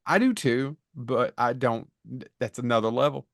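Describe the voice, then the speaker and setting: A man's voice: mid-range voice, moderate pace, no room sound, clean studio sound. A middle-aged man co-hosting a podcast, chatting casually in a blunt, joking, sweary manner. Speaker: podcast co-host. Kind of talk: casual comedic banter.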